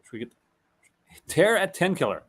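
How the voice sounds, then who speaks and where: A man's voice: high-pitched, big pitch swings, fairly quick, a bit bright, breathy edge. A man hosting a casual chat, reading out movie descriptions with stumbles and restarts and laughing often. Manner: reads product blurbs aloud, stumbling and restarting, laughs often. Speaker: host.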